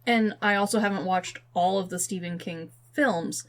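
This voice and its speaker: A woman co-hosting a podcast in casual chat, talking informally and haltingly as she thinks aloud. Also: medium pitch. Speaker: podcast co-host. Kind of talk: casual chat about films and games.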